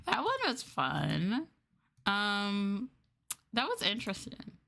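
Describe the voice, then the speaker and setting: A woman streamer talks casually to a live chat. She thinks out loud in a loose, hesitant way, at a middling pitch. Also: big pitch swings, slow, vocal fry. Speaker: VTuber streamer.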